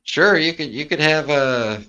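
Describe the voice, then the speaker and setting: A man chatting casually on a stream, talkative and quick to laugh. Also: a little high, animated, sharp tone, lo-fi sound, faint music behind. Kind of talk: casual chat.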